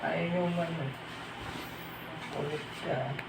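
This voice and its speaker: A man's voice: fairly high pitch, steady tone, slow, creaky. Filipino man in a very noisy, echoey space. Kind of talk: casual work chat. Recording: very noisy, echoey on-site recording.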